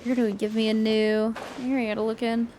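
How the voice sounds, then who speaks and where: A woman's voice: a little high, little pitch movement, brisk, noisy background. A woman game streamer giving casual, informal and reactive commentary as she plays.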